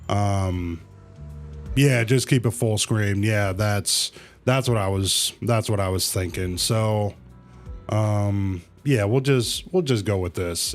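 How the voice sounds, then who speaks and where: Slow, low-voiced man livestreaming. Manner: halting, thinking aloud, repeating phrases. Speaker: livestream host. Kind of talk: casual livestream chat with viewers. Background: music.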